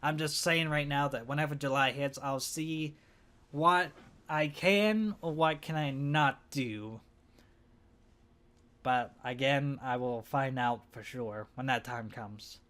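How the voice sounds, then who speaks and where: A young man with a hoarse voice and a stuffed-up nose, rambling hesitantly in a casual vlog for his gaming channel. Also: medium pitch, slow pace, sharp tone. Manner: rambling, hesitant, self-conscious.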